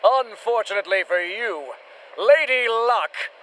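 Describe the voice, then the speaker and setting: A man's voice: high voice, expressive, measured pace, fairly bright tone, slight background noise, low-quality recording. An actor playing a pompous, swaggering adventurer who hides his cowardice, with a bombastic radio serial delivery. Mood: heroic bluster. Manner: bombastic, grandiose, theatrical. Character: pompous swaggering male monster hunter, secretly cowardly.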